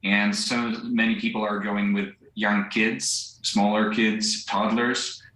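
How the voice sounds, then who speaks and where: Young Ukrainian man, low flat voice, halting English, echoey room. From central Ukraine. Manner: halting, searches for words, restarts. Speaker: volunteer driver interviewed live. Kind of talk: live remote news interview. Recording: echoey remote link.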